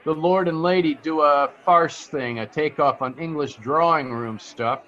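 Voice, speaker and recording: An actor playing an amused, chatty informant in 1940s radio drama, slangy and a little hesitant. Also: male voice, on the high side, a little slow, fairly bright tone, dull audio.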